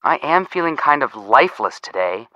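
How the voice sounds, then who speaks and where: A bright, animated young American man. He sounds cheeky and casual, tossing out slangy tips with a lot of pitch movement. The recording is close and dry. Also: moderate pace, dry recording, dull audio. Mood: cheeky, joking. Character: cheeky, joking young male amateur detective giving hints. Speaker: detective helper giving hints. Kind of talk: scripted video game voice acting.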